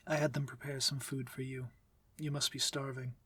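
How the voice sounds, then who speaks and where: A man playing a courtly, aloof but civil vampire master in an ASMR fantasy roleplay, speaking formally and with measured authority. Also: medium pitch, flat delivery, sharp tone, breathy edge, dry recording. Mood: cool, stern. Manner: formal, measured, commanding diction. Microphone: close, dry microphone.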